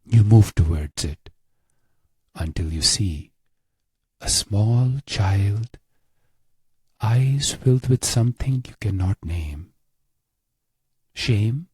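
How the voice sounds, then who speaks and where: A man narrating a guided meditation in a hushed, measured way with long pauses. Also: low voice, husky, no room sound.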